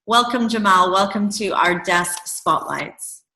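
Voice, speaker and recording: A woman's voice: mid-range voice, a little slow, sharp tone. A woman hosting a talk series, introducing the next speaker with a scripted, enthusiastic read. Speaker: host. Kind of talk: introducing a guest. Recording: echoey room.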